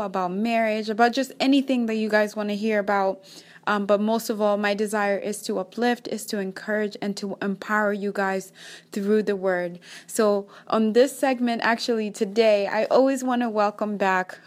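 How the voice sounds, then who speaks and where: A young Kenyan woman hosts a faith podcast with a Kenyan accent. She talks in an upbeat, encouraging way at an everyday pitch. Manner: upbeat, encouraging, praise-filled. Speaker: podcast host. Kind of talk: faith-based podcast chat.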